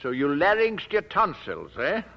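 A man's voice: on the high side, wildly swooping pitch, a little slow, gravelly, exaggerated voice. An actor playing a shrewd, kindly old grandfather in a 1940s radio drama, teasing in a dry, easygoing way. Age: older. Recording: dull lo-fi old radio recording.

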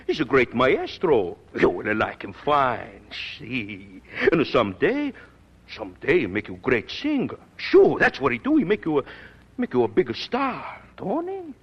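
Old Italian singing teacher, broken English, husky lively voice, dull old film sound. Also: male voice, mid-range voice, exaggerated voice. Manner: broken English, emotional, sighing. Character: elderly Italian immigrant singing teacher, tender and proud. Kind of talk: 1940s film acting.

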